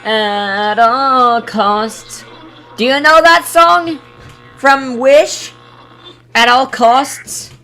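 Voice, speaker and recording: A woman giving a casual live reaction, excitable and exclaiming, sometimes reading haltingly. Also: high voice, faint music behind, rough recording. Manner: excitable, exclaiming, reads on-screen text aloud haltingly. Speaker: streamer reacting to a video.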